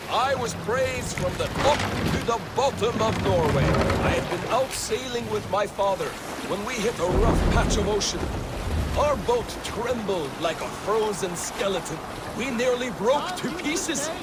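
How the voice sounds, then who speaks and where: Proud Norse shipwright, high voice, boastful theatrical storytelling, game dialogue, loud water and ambience behind. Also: male voice, heavy background noise. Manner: boastful, self-important. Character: proud, boastful Norse shipbuilder recalling his youthful genius. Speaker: game character.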